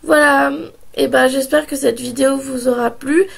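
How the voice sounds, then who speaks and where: A woman in her early twenties, hoarse from a sore throat and a cold, talking in a hesitant casual vlog with many pauses and restarts. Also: high-pitched, steady tone, slight background noise. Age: 20s. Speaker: vlogger.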